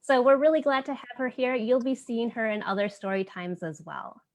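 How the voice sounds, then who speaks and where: A woman's voice: on the high side, moderate pace. Woman hosting a children's story time. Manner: explanatory, addressing young children simply. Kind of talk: spoken introduction for a children's virtual story time.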